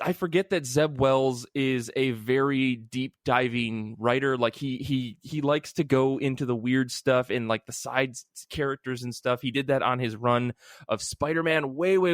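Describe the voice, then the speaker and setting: A man's voice: medium pitch, dry recording. Casual male podcast host, dry close sound. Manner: rambling, enthusiastic, informal. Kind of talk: casual comic book podcast chat.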